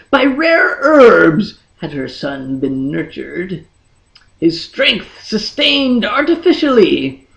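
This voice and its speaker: Slow, gravelly, theatrical fantasy narrator. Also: mid-range voice, dull audio. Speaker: audiobook reader. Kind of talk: dramatic scripted fantasy narration.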